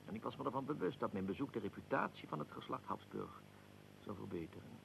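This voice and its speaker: An actor playing a proud, aggrieved middle-aged archduke in a radio drama, speaking formally and deliberately with a gravelly voice. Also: male voice, medium pitch, animated, background noise. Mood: dignified, explanatory. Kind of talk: radio drama, historical figure being interviewed.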